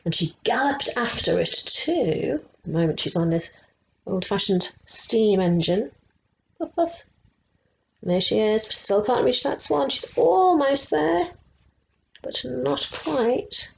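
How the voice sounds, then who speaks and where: A woman's voice: a little high, lively intonation, takes it slowly, gravelly, a little mellow, a little hiss or hum, rough recording. A woman storyteller reading a picture book aloud to children, adding explanatory asides and putting questions to her young listeners. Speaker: resident story teller.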